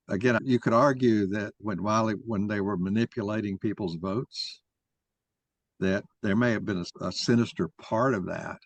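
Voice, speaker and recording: A man's voice: lowish voice, gravelly, slightly rough, no echo. A man moderating a mock trial discussion and arguing his own view as he goes. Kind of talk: argumentative discussion.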